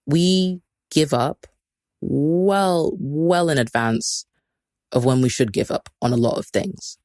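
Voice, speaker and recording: A podcast host from Kenya who talks in loose, rambling runs full of "like" and laughs at themself. The voice sits at a middling pitch and sounds dry and close, with no room echo. The tone turns motivational between self-mocking asides. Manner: rambling and chatty, heavy use of "like", laughs at self. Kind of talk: casual solo live-stream talk.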